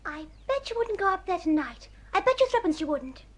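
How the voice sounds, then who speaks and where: A woman's voice: extremely high pitch, sing-song, brisk. A woman playing a timid nine-year-old girl in a radio drama, nervous and easily frightened. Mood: doubting, teasing. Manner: nervous, hesitant.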